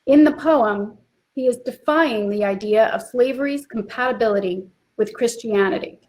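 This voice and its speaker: A woman presenting literary history in clear, emphatic scripted narration. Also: fairly high pitch, moderate pace, darkish tone.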